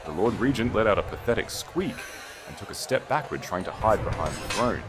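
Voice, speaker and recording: Deep-voiced man narrating dramatic fiction. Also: lively intonation, a little fast, over music. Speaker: audiobook narrator. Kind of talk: dramatic scripted fantasy narration.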